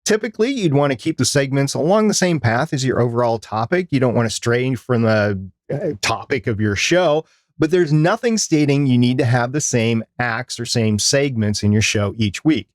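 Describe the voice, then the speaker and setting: A man's voice: mid-range voice, expressive, moderate pace, no echo, studio quality. A man co-hosting a podcast, giving semi-scripted advice with casual asides. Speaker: podcast co-host.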